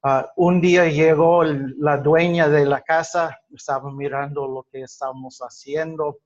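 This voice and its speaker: A man lecturing a class at a very slow, deliberate pace, pausing often with fillers and restarting his words. His first language is English. The recording sounds dull and lo-fi. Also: a little high, steady tone. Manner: halting, many fillers and restarts. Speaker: teacher.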